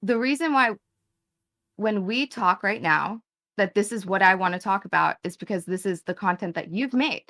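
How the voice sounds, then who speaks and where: A woman's voice: mid-range voice, expressive, moderate pace, fairly bright tone. A woman in her thirties arguing hard in an online debate, exasperated and swearing, talking over the other side. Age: 30s. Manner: exasperated, confrontational, swears, talks over him. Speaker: debate guest.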